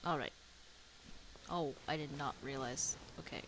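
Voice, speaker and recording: A woman's voice: a bass voice, measured pace, low-quality recording. A woman streamer giving casual reaction commentary while playing a game. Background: game music.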